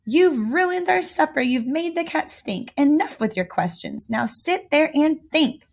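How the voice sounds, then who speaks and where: A woman's voice: high voice, lively intonation, unhurried, gravelly, pitched above the performer's own voice, exaggerated voice. A woman voicing an exasperated storybook parent who scolds a child in stern, emphatic commands. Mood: frustrated. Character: exasperated mother and father scolding their young daughter.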